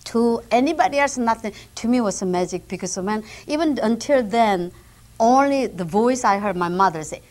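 A woman's voice: fairly high pitch, expressive, normal speed, darkish tone. A middle-aged woman from Korea with a Korean accent and simple, broken English, a talk-show guest vividly telling her story on a TV studio set, on a lapel mic. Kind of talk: casual chat. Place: TV studio set decked with flowers.

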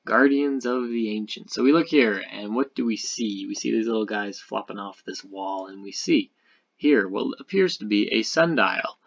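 A man's voice: fairly low pitch, normal speed, gravelly, lo-fi sound. A man giving casual, speculative game commentary over a screen share, rambling and thinking aloud. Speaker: gaming video creator.

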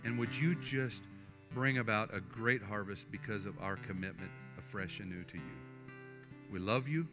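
An older man, a pastor, preaching and then praying at the altar in a church sanctuary, earnest and halting, often restarting his words. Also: on the low side. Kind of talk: sermon and altar prayer. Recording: faint guitar music underneath. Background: soft guitar music.

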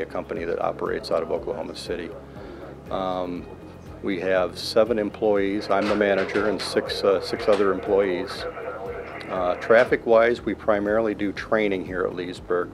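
Deep gravelly man explaining his job. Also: noisy background. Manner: plain, measured, fills pauses with uh and um. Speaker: air traffic control manager. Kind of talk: interview answers. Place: control tower cab, indoors, large windows. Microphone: handheld mic held by interviewer.

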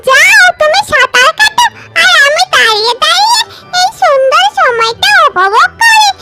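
A woman's voice: very high voice, sing-song, moderate pace, bright, forceful tone, faint music behind, voice pushed up, full character voice. A woman voicing a confident, clever hen, cheeky and reassuring, in a children's cartoon. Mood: friendly.

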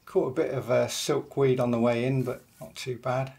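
An older man calmly narrating a day's fishing in a voice-over, matter-of-fact and unhurried, with frequent pauses. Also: mid-range voice, creaky. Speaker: angler vlogger.

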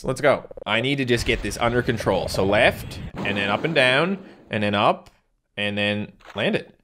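A young man from Newfoundland hosting a casual let's-play, excitable, yelping and joking at the game. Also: mid-range voice. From Newfoundland, Canada. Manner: excitable, yelps and jokes at the game. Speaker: gaming channel host. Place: home recording room.

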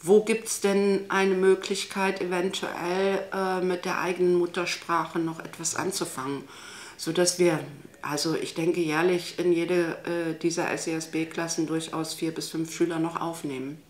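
A woman's voice: lowish voice, flat delivery, measured pace. A woman in her sixties explaining school matters in a matter-of-fact way, with fillers, in a classroom. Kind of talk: interview answer. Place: classroom indoors. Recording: echoey room.

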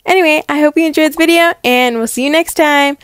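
A woman's voice: high-pitched, fast talker, dry recording. A woman hosting and narrating stories in a casual, chatty style. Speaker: storyteller and channel host.

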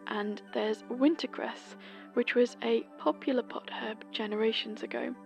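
A woman from Britain, host of a folklore podcast, reading a scripted seasonal almanac in a gentle, whispery way. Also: on the high side, a little slow, creaky, soft background music.

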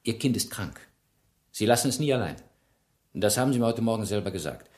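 A man's voice: lowish voice, animated, brisk, darkish tone, close to an everyday voice. An actor playing a shrewd, relentless French police inspector in a German radio crime drama, ironic and insistent as he questions. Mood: insistent, methodical. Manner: probing, ironic, insistent interrogator.

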